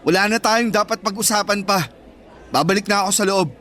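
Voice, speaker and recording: A man's voice: sits high, a little fast, bright, forceful tone, a faint crowd. A man acting a fed-up but protective teenage boyfriend in a Filipino radio drama, emphatic and scolding. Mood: firm, scolding.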